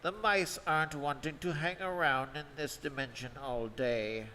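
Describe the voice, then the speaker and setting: A man's voice: a little high, normal speed, bright, forceful tone. A man playing an officious, impatient public-address announcer in a live radio play. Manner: clipped, officious. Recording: noisy echoing hall live stream.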